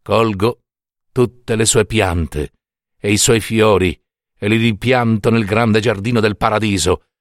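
A man voicing Death as a solemn, cold, stern gardener of souls in a storybook reading, grave and measured. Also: fairly low pitch, steady tone, dry recording, clean studio sound, pitched below the performer's own voice, lightly acted. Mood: solemn, implacable.

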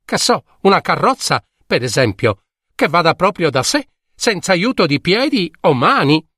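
A man's voice: a little high, sing-song, normal speed, pushed and bright, no room sound, studio quality, pitched above the performer's own voice, full character voice. An old royal minister from a fairy tale, played by a man, eager and courtly. His voice is raised and swooping, with a wheedling, inquisitive manner. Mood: pleading.

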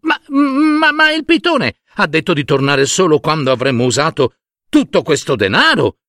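Man acting a henpecked storybook husband, lively pitch. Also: moderate pace, no room sound, clean studio sound. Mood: meek, hesitant. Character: henpecked husband, meek with wife, stubborn when pushed.

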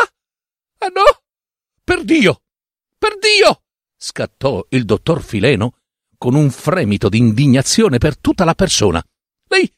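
A man's voice: high voice, fairly bright tone, no echo, clean studio sound, voice pushed up, full character voice. A man voicing a desperate male character, a doctor, who pleads and then protests indignantly in a dramatic story reading.

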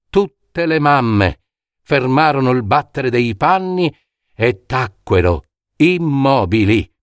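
A man narrating a scripted fairy tale as a storyteller, in a theatrical, measured way with suspenseful pauses. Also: medium pitch, dry recording, clean studio sound. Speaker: storyteller and author reading his own fairy tale.

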